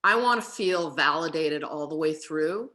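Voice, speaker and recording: Emphatic woman with a bright voice. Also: deep voice, animated, moderate pace. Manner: earnest, emphatic. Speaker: alumni panelist. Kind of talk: storytelling on a panel. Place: living room. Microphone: video call.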